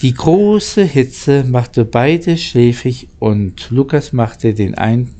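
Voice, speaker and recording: A man reading and talking in a halting way, stumbling over and repeating words, like an amateur storyteller. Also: medium pitch, warm tone. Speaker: narrator, channel host. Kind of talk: amateur storybook reading, casual channel outro.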